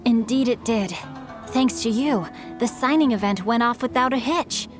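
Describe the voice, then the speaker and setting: Woman playing proper young lady author from a game, kinda high voice, formal and gracious, music behind. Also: normal speed, lightly acted. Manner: formal, courteous. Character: polite, refined young female novelist, discreet and gracious. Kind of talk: video game voice acting.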